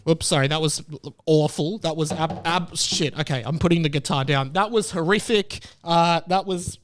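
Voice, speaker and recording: A man's voice: fairly high pitch, normal speed, soft background music. A young Australian man hosting his own podcast, chatting casually with a chatty, self-deprecating manner. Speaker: podcast host. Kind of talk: casual solo podcast chat.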